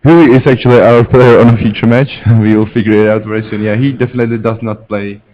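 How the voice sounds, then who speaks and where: A man's voice: medium pitch, steady tone, fast talker, slight background noise. A man with a Czech accent chatting casually as co-commentator on a live card game stream. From Czech Republic. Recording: dull, lo-fi stream audio.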